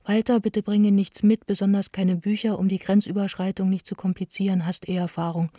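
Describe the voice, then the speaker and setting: A woman's voice: mid-range voice, flat delivery, a little fast, mellow, dry recording, studio quality, natural voice. An actress playing a candid, wounded young woman of the theatre, speaking her letters in an intimate, restrained way for a radio play. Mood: wry, friendly. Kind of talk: radio play monologue, letters read aloud.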